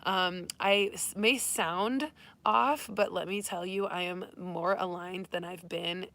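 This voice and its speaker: A woman who has nearly lost her voice, hoarse, hosting an upbeat, spiritual tarot reading for her channel. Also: lowish voice, fairly even intonation, takes it slowly, a bit bright. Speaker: tarot reader and channel host. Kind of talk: casual livestream reading. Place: Arizona.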